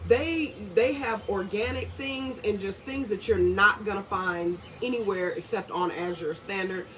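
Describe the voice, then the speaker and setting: A woman's voice: medium pitch, a little slow. A woman, a bit congested and nasal, chatting casually to camera in a rambling, friendly way. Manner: chatty, rambling, warm asides. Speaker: homesteading vlogger. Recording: distant mic, faint background noise.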